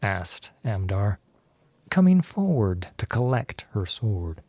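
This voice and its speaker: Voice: sits low, sing-song, takes it slowly, dark tone, breathy edge, dry recording. A narrator reading a scripted fantasy story in a solemn, theatrical storytelling manner.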